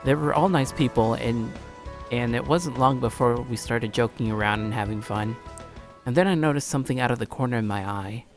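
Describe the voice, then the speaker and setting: Male streamer, informal and stumbly, reading a visual novel aloud with soft music underneath. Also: medium pitch, a little fast. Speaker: gaming streamer.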